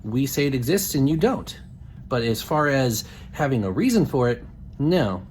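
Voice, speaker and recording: A man's voice: medium pitch, sing-song, normal speed, darkish tone. Blunt man over noticeable background noise. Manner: blunt, assertive. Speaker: puppet show host. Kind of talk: religious rebuttal, apologetics.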